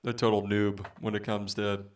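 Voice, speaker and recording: A man with a baritone voice chatting casually with viewers as a musician streamer, rambling with many fillers. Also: flat delivery, moderate pace, distant mic. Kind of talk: casual livestream chat.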